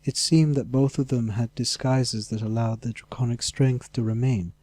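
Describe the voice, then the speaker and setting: A man's voice: mid-range voice, fairly even intonation, moderate pace, a little mellow, no room sound. A man reading scripted fantasy narration as an audiobook narrator.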